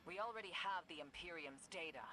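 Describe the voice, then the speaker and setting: A woman's voice: fairly low pitch, lively intonation, brisk, noisy background, lightly acted. A woman playing a stern, skeptical officer in a video game, clipped and matter-of-fact. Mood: skeptical, irritated. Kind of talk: naturalistic scripted game acting.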